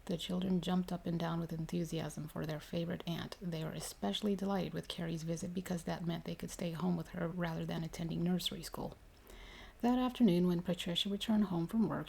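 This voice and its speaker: A woman reading a story aloud ASMR-style, soft and unhurried, partly whispered. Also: on the low side, creaky, slightly rough. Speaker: ASMR reader. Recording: faint noise behind the voice.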